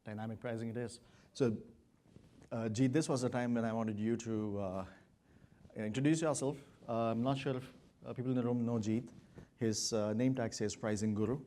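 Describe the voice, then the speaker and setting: A man presenting at a business conference and addressing his audience, pausing often with "uh". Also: mid-range voice, fairly even intonation, mellow. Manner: measured, many "uh" fillers. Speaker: consulting presenter.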